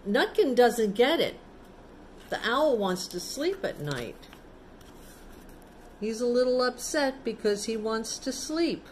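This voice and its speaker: A woman's voice: on the high side, animated, takes it slowly, long pauses, a little mellow, slight background noise. A woman storyteller reading a picture book aloud to children, adding simple explanatory asides in a casual, child-directed way.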